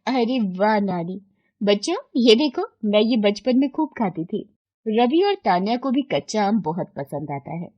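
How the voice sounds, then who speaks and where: Devoted granddaughter, played by a female narrator: expressive, grateful, earnest storybook delivery, a bit breathy. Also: medium pitch, normal speed, highs cut off, lightly acted. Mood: eager. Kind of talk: acted children's moral story.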